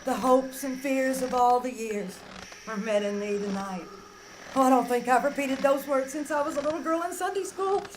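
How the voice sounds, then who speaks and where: A woman playing a kindly, brisk, encouraging traveler in 1940s radio drama style. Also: high-pitched, lightly acted, low-quality recording. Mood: gentle, firm. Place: small theater stage. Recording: live stage recording, background noise.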